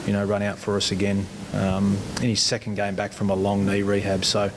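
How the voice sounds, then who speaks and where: A man's voice: completely flat delivery, fairly quick. An Australian man giving interview answers as a football coach. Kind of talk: interview answers on team selection. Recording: noisy recording with low rumble.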